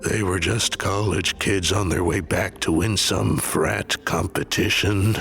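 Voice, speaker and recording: Gruff older man, very low gravelly voice, slow and mournful, audio drama with music underneath. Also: steady tone, a bit bright, heavily acted. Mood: somber, haunted. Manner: slow, somber, deliberate. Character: grieving older man, gruff, weary haunted-house owner. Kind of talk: scripted audio drama acting.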